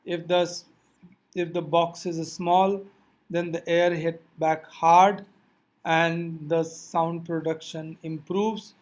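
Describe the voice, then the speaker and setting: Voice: medium pitch, flat delivery, takes it slowly. A physics teacher giving an explanatory lecture, addressing learners directly with reminders in a didactic way.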